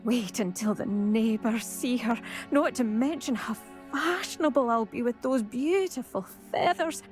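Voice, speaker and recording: A woman's voice: a little high, measured pace, faint music behind, lightly acted. An actress playing a vain, chatty, fashion-minded woman in a video game, speaking with breezy, polite self-satisfaction. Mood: coveting, self-satisfied. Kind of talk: video game character dialogue.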